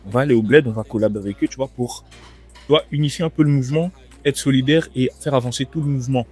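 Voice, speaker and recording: A man's voice: medium pitch, quick pace, dark tone, slightly rough. Laid-back young man chatting in a restaurant. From France. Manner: laid-back, slangy, repeats himself. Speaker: rapper guest. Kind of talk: casual interview chat. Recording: music playing in the background.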